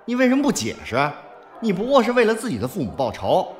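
A man's voice: sits high, wildly swooping pitch, fast talker. A man acting a blunt, hot-tempered, loyal young henchman in a Mandarin audio drama, brash and outspoken. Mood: exasperated.

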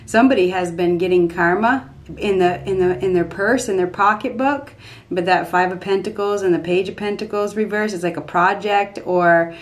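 A woman in her fifties giving an unscripted tarot reading at a desk in a small dark room, repeating herself emphatically as she thinks aloud. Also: mid-range voice, normal speed. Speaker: tarot reader.

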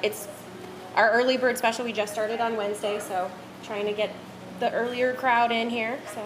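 A woman's voice: a little high, fairly even intonation, moderate pace. An American woman giving casual, agreeable answers as an interview guest. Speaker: cafe co-owner, interview guest. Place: small cafe, indoors. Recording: clear cafe background noise.

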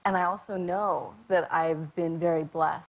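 A young woman answering interview questions, soft-spoken and hesitant, with frequent pauses and ums. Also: lowish voice, steady tone, rough recording. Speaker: guest. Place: talk show studio.

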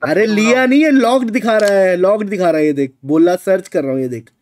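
A man's voice: high voice, fairly quick, darkish tone. A man, bantering casually on a game livestream in a teasing, mock-indignant, exclamatory manner. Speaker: game streamer. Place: small room indoors.

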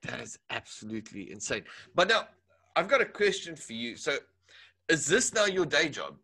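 A man's voice: on the high side, very animated, normal speed, pushed and bright, slightly rough. A man, hosting a casual interview, talking eagerly in rambling sentences and laughing often. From South Africa. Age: 40s. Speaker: podcast host.

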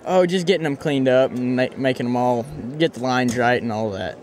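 A man's voice: mid-range voice, brisk, a little mellow, a little hiss or hum. A young man giving casual, modest, polite answers in an interview on a handheld microphone. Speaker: competitor interviewed. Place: large indoor trade show hall.

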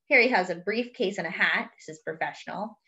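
A woman reading a children's picture book aloud expressively, pausing to explain words and pictures as if to young children. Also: medium pitch, bright, forceful tone, lo-fi sound. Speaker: host of a children's read-aloud.

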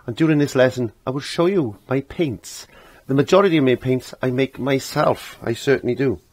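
A middle-aged man with a croaky voice giving a casual step-by-step painting lesson, rambling as if to himself and repeating his phrases. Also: medium pitch, moderate pace, a little mellow, dry recording. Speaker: painting instructor. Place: indoor home art studio.

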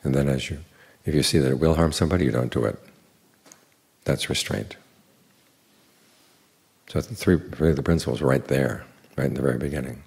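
Older man, monk, very low husky gravelly voice, unhurried dharma talk, dry humor. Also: a little mellow. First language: English. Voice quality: very deep gravelly voice.

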